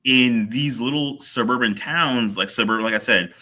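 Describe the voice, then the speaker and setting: Bright-voiced man, hesitant and thoughtful. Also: medium pitch, normal speed. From Virginia, USA. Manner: hesitant, many fillers, thoughtful. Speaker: author guest. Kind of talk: casual interview.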